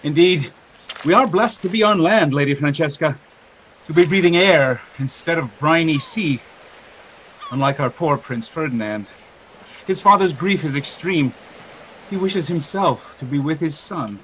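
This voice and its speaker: Castaway lord, played by a man, grave gratitude, formal declamation, lighter male pitch, light ambient noise, radio drama. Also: measured pace, long pauses, a bit bright, rough recording. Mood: solemn relief. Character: solemn, thankful shipwrecked nobleman. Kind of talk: Shakespearean audio drama acting.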